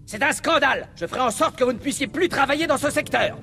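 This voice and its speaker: An actor playing an outraged, imperious corrupt administrator in a video game, barking threats and orders. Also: male voice, very high-pitched, quick pace, gravelly. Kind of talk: video game dub dialogue.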